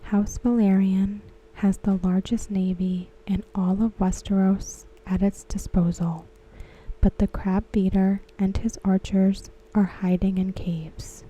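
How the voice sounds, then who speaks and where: A woman whispering softly and slowly as she recaps and discusses a TV episode for an ASMR video. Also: medium pitch, flat delivery, poor audio. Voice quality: breathy. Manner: slow, soft whispering. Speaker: ASMR creator. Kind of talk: soft-spoken ASMR recap.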